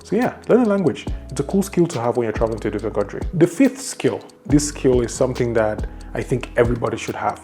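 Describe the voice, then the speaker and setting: Young Cameroonian man, upbeat advice vlogger, brisk and warm-toned, light music bed. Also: mid-range voice, lively intonation. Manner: enthusiastic, conversational, lists numbered tips. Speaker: YouTuber. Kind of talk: advice vlog.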